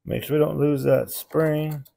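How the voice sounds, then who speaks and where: A man's voice: a little high, little pitch movement, unhurried, vocal fry, mellow, slight background noise. A middle-aged man, a small engine mechanic, talking casually and plainly while taking apart an engine in his repair shop. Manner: plainspoken, folksy shop talk. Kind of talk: casual hands-on repair walkthrough.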